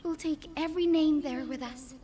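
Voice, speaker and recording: A woman playing a gentle young fantasy heroine in a game, with lyrical, earnest, dramatic delivery. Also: high voice, moderate pace, lightly acted. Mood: hopeful, tender. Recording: game audio under clear music.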